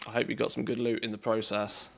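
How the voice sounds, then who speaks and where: A man with an English accent giving relaxed, chatty commentary on a live game stream, reacting to play and greeting viewers. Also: lowish voice, fast, vocal fry. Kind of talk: casual live-stream commentary while gaming.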